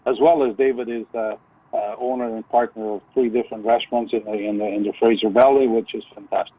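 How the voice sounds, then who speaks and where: An older man calling in to a radio show on a phone line, chatting casually and haltingly, repeating words as he goes. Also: mid-range voice, steady tone, breathy edge. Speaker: phone-in guest, retired contractor. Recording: muffled narrowband phone line.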